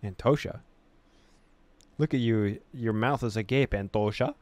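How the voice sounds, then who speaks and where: A man's voice: on the low side, unhurried, a little mellow. A man hosting a gaming video, reading on-screen story text aloud in a dramatic way with casual reactions.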